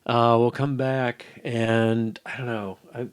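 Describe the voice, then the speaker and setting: A man's voice: medium pitch, flat delivery, slow, clean tone, no echo. An older man giving casual think-aloud commentary as he codes, musing and muttering to himself. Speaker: programmer hosting a live coding session. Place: indoor room at home.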